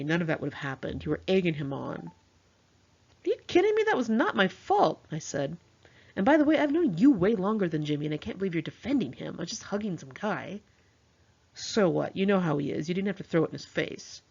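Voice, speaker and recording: An American woman narrates a personal memoir in a low, husky voice. She sounds conversational and slangy, like an author reading her own informal story, and acts out quoted dialogue. The recording is a slightly dull, lo-fi home take. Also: big pitch swings, a little fast, darkish tone.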